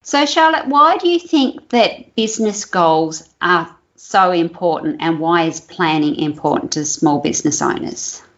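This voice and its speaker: A woman's voice: lowish voice, expressive, a little slow, hardly any pauses, muffled recording. A woman hosting a business podcast, introducing her guest and topic in a friendly way. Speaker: podcast host. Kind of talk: podcast interview.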